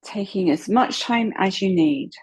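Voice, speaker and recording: A woman leading a slow guided meditation in a soft, breathy voice, calm and unhurried, with long pauses. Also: medium pitch. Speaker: guided relaxation meditation instructor.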